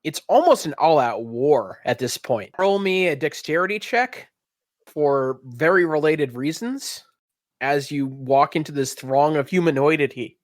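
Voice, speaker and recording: A man's voice: fairly high pitch, animated, measured pace, no echo, studio quality. A man running a tabletop role-playing game, narrating in a theatrical, descriptive storytelling manner. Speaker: game master.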